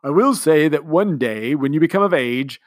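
A man's voice: fairly high pitch, lively intonation, normal speed, no echo, clean studio sound, pitched above the performer's own voice, natural voice. A man doing a pompous, rambling commander. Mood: grandiloquent. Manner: long-winded, pompous speechmaking. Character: grandiose, sentimental middle-aged male commander.

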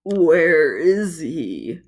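A woman voicing a squat, nervous toad-like male creature who croaks and fawns over his master. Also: sits low, big pitch swings, extremely slow, pitched below the performer's own voice, heavily acted. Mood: nervous groveling.

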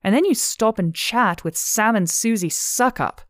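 A woman's voice: fairly low pitch, moderate pace, no room sound, studio quality, lightly acted. Woman playing a blunt young female officer. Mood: exasperated.

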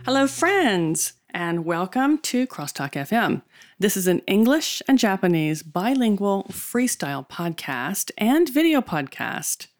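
A woman's voice: medium pitch, very animated, a little slow. A woman with an American accent co-hosting a podcast in casual, unscripted chat. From United States. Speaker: podcast co-host. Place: small makeshift studio behind a cafe.

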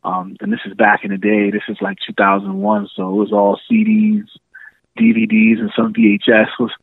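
A man's voice: fairly low pitch, big pitch swings, normal speed, gravelly, breathy edge, no room sound. A man in his thirties from New York City, chatting as a podcast guest over the phone and laughing through his stories. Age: 30s. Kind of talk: casual phone interview. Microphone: phone line. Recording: tinny phone line.

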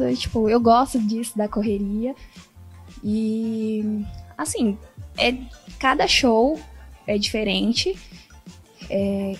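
A woman's voice: on the high side, slightly rough. A Brazilian woman who produces comedy shows, talking casually and a little shyly as a podcast guest.

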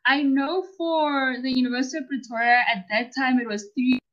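Young South African woman, guest on a casual podcast, slightly high, relaxed and giggly, muffled lo-fi audio. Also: a little fast. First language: Northern Sotho and Tsonga. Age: young adult. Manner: relaxed, chatty, laughs often. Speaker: guest, university student and podcaster. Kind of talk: casual interview chat.

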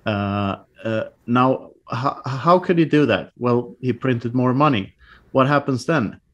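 A man talking as a guest author on a podcast, casual and halting, with many fillers and restarts and little laughs at his own jokes. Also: mid-range voice, muffled recording.

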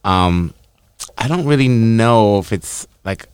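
A man's voice: on the low side, animated, slow, clear, resonant tone. A man with a Canadian accent hosting a casual podcast chat, laid-back and slangy, with some swearing. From Canadian West Coast. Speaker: podcast host.

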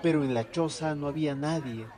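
A man's voice: a little high, brisk, a little mellow, a faint crowd. A man narrating a folk tale as a storyteller.

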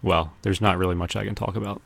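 A man's voice: sits low, steady tone, fast talker, gravelly. A man co-hosting a podcast, talking casually about pop culture. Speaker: podcast co-host.

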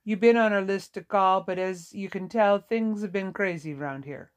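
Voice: mid-range voice, normal speed, natural voice. A woman voicing a short, weary Vietnamese nurse in an audiobook, sorrowful, apologetic and plain-spoken, with a Vietnamese accent. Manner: folksy, apologetic, emphatic. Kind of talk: audiobook character dialogue.

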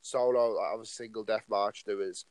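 A man's voice: fairly low pitch, fairly even intonation, fairly quick, dry recording. Rambling nineteen-year-old guy. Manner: rambling, leans on "like" and "obviously". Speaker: podcast co-host. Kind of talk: casual podcast chat.

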